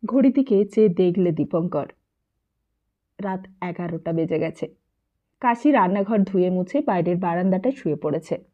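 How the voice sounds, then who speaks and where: Woman narrating a novel through muffled, phone-like sound. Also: on the low side. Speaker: audiobook narrator. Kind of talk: literary audiobook narration of a novel.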